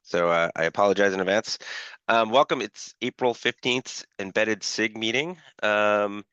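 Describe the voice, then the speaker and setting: A man chairing an online working-group call, his voice a little scratchy from allergies, talking haltingly with many fillers. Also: lowish voice, bright, forceful tone, smooth, no echo. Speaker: meeting chair. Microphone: video call. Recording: dull lo-fi call audio.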